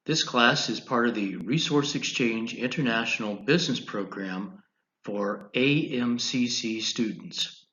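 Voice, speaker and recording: An American man teaching an online class, giving a deliberate, instructional lecture over slides, phrase by phrase. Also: mid-range voice, bright, forceful tone, muffled recording. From United States, Colorado.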